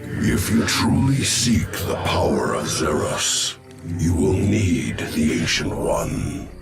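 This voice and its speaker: Ancient alien creature, game cinematic, slow grave speech, gravelly rasp, solemn, cryptic, loud music. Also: male voice, a bass voice, sing-song, exaggerated voice. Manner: grave, portentous, measured. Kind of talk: video game cinematic acting.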